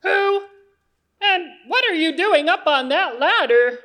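Sensible puppet pal woman performing: high pitch, slow pace, earnest and exclamatory, for kids. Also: lively intonation, a bit bright, full character voice. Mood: alarmed. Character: sensible, excitable puppet friend who explains lessons. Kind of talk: children's church puppet show.

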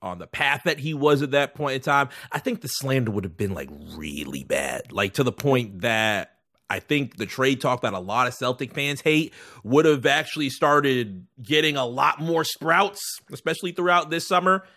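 A man's voice: mid-range voice, expressive, moderate pace, pushed and bright. A man co-hosting a casual sports podcast, talking emphatically with playful exaggeration and repeating phrases for effect. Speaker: sports and culture podcast co-host.

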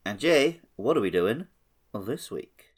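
A man's voice: lowish voice, very animated, takes it slowly, slight background noise. A man hosting a podcast, talking casually and conversationally about video games. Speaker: podcast host. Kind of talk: casual video game chat.

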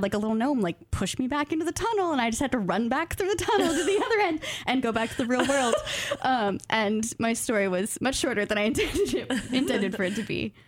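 A woman's voice: fairly high pitch, no room sound. Woman guest chatting thoughtfully, close dry sound. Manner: thoughtful, self-deprecating, laughs easily. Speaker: guest writer, graduate student of creative nonfiction. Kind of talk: casual interview chat.

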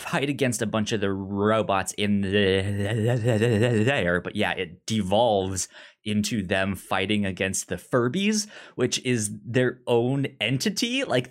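A man co-hosting a film podcast in casual conversation, halting and often stammering over the starts of words. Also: lowish voice. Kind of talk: casual movie discussion.

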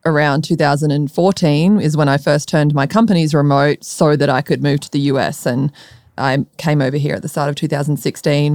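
Aussie woman chatting on a podcast, smooth clear voice, really dry close sound. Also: deep voice, darkish tone, no room sound. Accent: Australian. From Melbourne, Australia. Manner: laughs easily, informal. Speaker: podcast guest, startup founder. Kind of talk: conversational interview.

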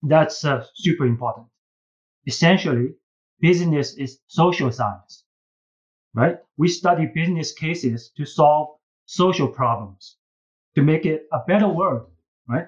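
A man with a Chinese accent and a fairly high voice gives earnest interview answers as a professor. He speaks haltingly, repeating words as he builds his point. The recording sounds slightly dull and lo-fi. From China. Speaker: business school professor and case writer, podcast guest.

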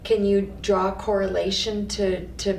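A woman hosting a casual interview, chatty and halting, often restarting her questions. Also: medium pitch, flat delivery, creaky, far from the mic. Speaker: podcast host. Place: studio. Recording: roomy, off-mic, faint noise.

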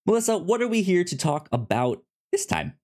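A podcast host chatting casually about reviews, with a halting delivery that repeats and stammers on words. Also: medium pitch, sing-song, darkish tone.

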